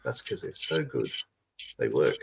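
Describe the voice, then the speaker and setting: A man's voice: low voice, huge pitch swings, a little slow, creaky. A man hosting a casual live stream, chatting freely, laughing often and reading viewer comments aloud. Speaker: stream host.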